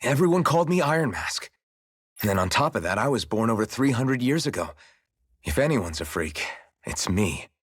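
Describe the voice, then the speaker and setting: A man's voice: medium pitch, very animated, moderate pace, slightly rough, no room sound, clean studio sound. An actor playing an earnest, frank young swordsman hero in a video game, speaking directly. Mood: earnest reassurance. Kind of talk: dramatic video game acting.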